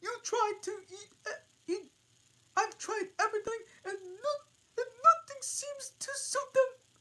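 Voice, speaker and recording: A woman's voice: extremely high pitch, a little slow, pushed and bright, voice pushed up, exaggerated voice, poor audio. A woman voicing a frantic mother pigeon guarding her eggs, screeching and wailing in a strained voice.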